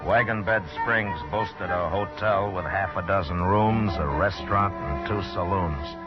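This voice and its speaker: A dull old radio recording carries a stern American western lawman. His voice sits very low, and he speaks in dry, terse, deliberate lines that never flinch. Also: loud music behind the voice. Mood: sombre narration. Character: stern, unflinching frontier US marshal in a radio western. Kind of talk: old-time radio western acting and narration.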